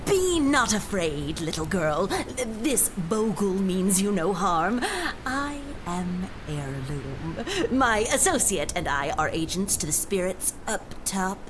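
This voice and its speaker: A woman's voice: lowish voice, sing-song, slow, music in the background, rough recording. Woman playing a grandiose, wildly swooping mountain bogle. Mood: grandly proclaiming. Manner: grandiose, formal, proclaiming. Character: theatrical, fussy bogle, agent of mountain spirits. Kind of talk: family audio drama acting.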